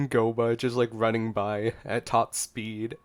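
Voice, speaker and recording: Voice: mid-range voice, level tone, slow. A gameplay streamer giving casual, playful reaction commentary. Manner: playful, giggly, echoes words. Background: game music.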